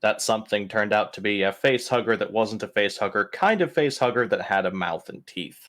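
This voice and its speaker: A man's voice: fairly low pitch, expressive, fairly quick, a bit bright. A man casually recapping a tabletop role-playing session as one of the players. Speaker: player in an online tabletop RPG.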